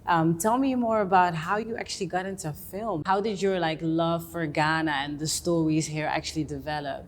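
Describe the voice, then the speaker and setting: A woman's voice: low-pitched, fairly even intonation, moderate pace, a little hiss or hum. A woman hosting an interview, asking questions in a casual, affirming manner. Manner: warm, affirming, frequent "yeah". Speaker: host. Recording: music bed behind.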